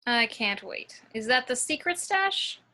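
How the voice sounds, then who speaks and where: A woman's voice: on the high side, big pitch swings, measured pace, sharp tone, heavily acted. A woman at an amateur table read playing a sassy, dryly teasing college girl.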